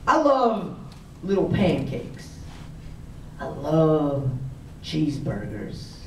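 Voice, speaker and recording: High-voiced man performing poetry, far from mic. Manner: theatrical, emphatic delivery with drawn-out phrases. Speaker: poet performing on stage. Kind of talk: spoken word poetry reading before a live audience. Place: medium-sized indoor room with seated audience. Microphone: far camera in the audience. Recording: roomy, distant audience recording with faint noise.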